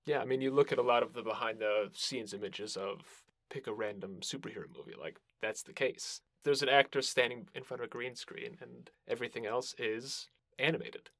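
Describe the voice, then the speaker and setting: A man's voice: mid-range voice, a little fast, vocal fry, hardly any pauses, a bit bright, dry recording. A man hosting a podcast interview, talking in a casual, conversational style.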